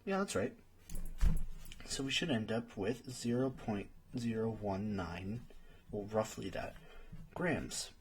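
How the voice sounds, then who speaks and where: A man's voice: lowish voice, animated, slow pace, long pauses. A man playing a friendly young friend in an ASMR roleplay, patiently tutoring and thinking aloud in a hesitant way. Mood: encouraging, explaining. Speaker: study partner.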